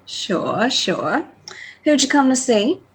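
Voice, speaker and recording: A woman's voice: high-pitched, very animated, takes it slowly, husky, heavily acted, low-quality recording. An actress playing a wry, savvy female prison guard, bantering dryly in a radio play. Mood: wary, bantering. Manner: dry, bantering.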